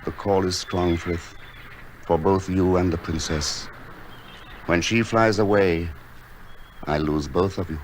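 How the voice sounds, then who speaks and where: A man playing a gentle, lonely hunchbacked painter, earnest and wistful, in the style of old-time radio drama. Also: steady tone, slow, pauses often, a little hiss or hum. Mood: longing. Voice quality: deep, gentle. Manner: earnest, wistful literary delivery. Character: gentle lonely hunchbacked painter, a melancholy recluse who loves birds. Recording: dramatic music behind the voice.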